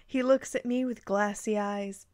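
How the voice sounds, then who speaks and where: A woman reading a story aloud informally, breaking in with expressive, amused reactions and exclamations. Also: mid-range voice, measured pace, no room sound, clean studio sound. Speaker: host reading fan fiction aloud with commentary.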